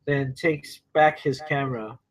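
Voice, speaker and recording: A man's voice: mid-range voice, measured pace. A man reading stage directions at a screenplay table read in short, terse present-tense phrases.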